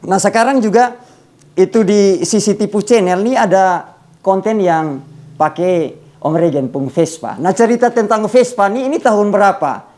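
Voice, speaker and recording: A man with a fairly high voice and an eastern Indonesian Kupang Malay accent. He hosts a casual chat interview. He tends to repeat what he has just been told. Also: normal speed, a little mellow. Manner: often echoes the guest's answers back. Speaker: host of an interview show.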